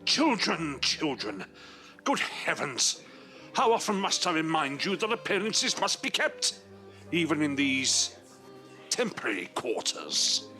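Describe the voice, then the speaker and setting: Pompous old gentleman, theatrically swooping. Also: a little high, huge pitch swings, exaggerated voice. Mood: indignant, lofty. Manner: pompous, grandiose, halting when flustered. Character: proud, pompous, shabby-genteel old prisoner father. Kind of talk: period audio drama acting. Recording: echoey hall reverb, music behind.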